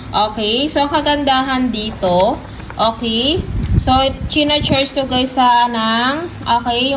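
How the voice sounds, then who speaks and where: A woman with a Filipino accent giving a casual, chatty product demo and sales pitch, often addressing her viewers directly. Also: noisy background. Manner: chatty, repeats filler tags and addresses viewers. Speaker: product reviewer and live seller.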